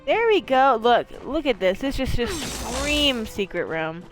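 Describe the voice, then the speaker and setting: A woman's voice: fairly high pitch, sing-song, measured pace, soft background music. A woman from Maine streaming a video game, talking in a rambling, chatty way with lots of fillers and swearing. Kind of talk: casual let's-play chat.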